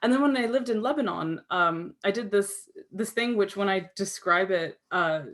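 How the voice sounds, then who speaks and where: A woman's voice: medium pitch, moderate pace, a bit bright. A woman with a Canadian accent, a guest author answering interview questions in a rambling, self-interrupting way, tired from too little sleep. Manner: rambling, self-interrupting, many fillers and restarts.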